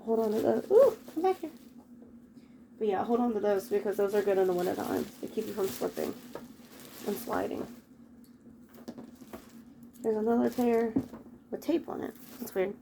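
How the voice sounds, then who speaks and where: A woman's voice: mid-range voice, lively intonation, moderate pace, creaky, pauses often, dark tone, a little hiss or hum. Chatty woman rambling with a head cold. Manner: rambling, chatty, self-interrupting. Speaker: vlogger. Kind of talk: casual chat while tidying. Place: bedroom at home.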